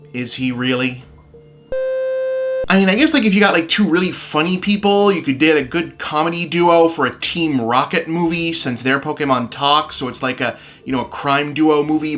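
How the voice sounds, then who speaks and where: A man's voice: a little high, moderate pace, a bit bright, soft background music. Male video essay host, conversational scripted commentary, wry, with casual asides.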